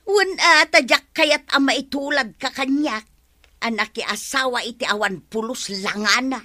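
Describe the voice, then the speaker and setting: A woman's voice: high-pitched, measured pace, pushed and bright. A woman with an Ilocano accent playing a nagging, complaining wife in a radio comedy drama.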